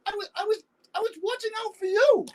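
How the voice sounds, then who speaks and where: A woman playing a defensive, evasive older husband, a Vietnam veteran, halting and exasperated in a naturalistic play reading. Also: very high voice. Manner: halting, defensive, repeats words. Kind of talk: virtual staged play reading, naturalistic dialogue.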